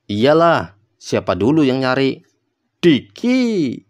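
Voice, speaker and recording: A man's voice: mid-range voice, big pitch swings, takes it slowly, lo-fi sound. Casting: male actor as easygoing, slightly cocky young cartoon guy on holiday, Jakarta slang, matter-of-fact, mid-range voice. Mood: boastful. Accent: Jakarta Indonesian. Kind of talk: colloquial cartoon dialogue.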